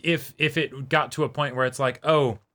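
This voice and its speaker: A man's voice: medium pitch, steady tone, moderate pace, bright, forceful tone. A young man co-hosting a casual anime discussion in loose, trailing conversational phrases. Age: young adult. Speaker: co-host. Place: small indoor room set up for filming.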